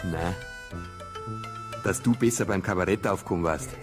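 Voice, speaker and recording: An actor playing an earnest, dry, matter-of-fact detective with a Bavarian accent in a naturalistic radio drama. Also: male voice, sits low, normal speed, over music, close to an everyday voice. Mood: dry rebuke. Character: earnest male detective, patient but easily irritated.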